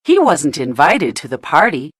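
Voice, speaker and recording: A woman narrating a children's storybook in an expressive read-aloud style, putting questions to young listeners. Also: low voice, moderate pace, husky, dry recording, clean studio sound.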